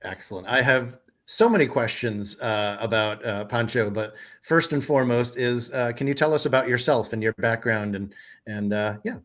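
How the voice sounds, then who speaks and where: A middle-aged man with a mid-range voice hosting a video call interview. He speaks in an upbeat, measured way as he introduces guests, talking through a headset mic. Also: medium pitch, fairly bright tone. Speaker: podcast host. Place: home room. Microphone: headset microphone on video call.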